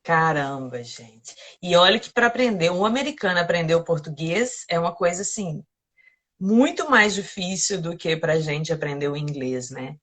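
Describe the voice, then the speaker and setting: A woman hosting a live interview, introducing her guest warmly and asking encouraging questions. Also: fairly low pitch, expressive, moderate pace. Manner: enthusiastic, encouraging, laughs often. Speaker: host.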